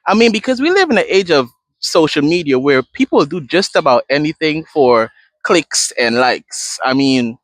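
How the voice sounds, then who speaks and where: A man's voice: a little high, big pitch swings, normal speed, fairly bright tone, no room sound. A man from Guyana, a therapist in training, explaining a coping tool step by step in a conversational talk. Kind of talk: direct-to-camera advice talk.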